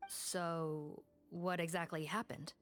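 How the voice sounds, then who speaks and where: A woman's voice: fairly low pitch, flat delivery, takes it slowly. An actress playing a formal, sceptical female investigator in a video game, with a polite way of speaking.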